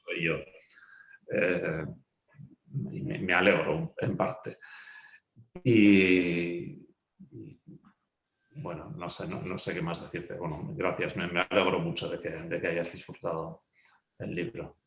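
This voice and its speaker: Deep-voiced hesitant man in an echoey room. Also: steady tone, slow, vocal fry, pauses often, fairly bright tone. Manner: hesitant, many fillers and restarts, ends phrases with a questioning tag. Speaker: author being interviewed. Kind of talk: reflective conversational answer.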